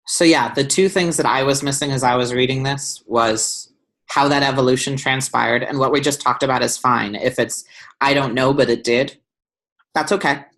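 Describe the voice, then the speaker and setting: A man's voice: medium pitch, steady tone, moderate pace, fairly bright tone. A young man giving one-on-one feedback on a student's essay over a video call, thoughtful, with many ums and restarts. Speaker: writing tutor. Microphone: webcam on video call.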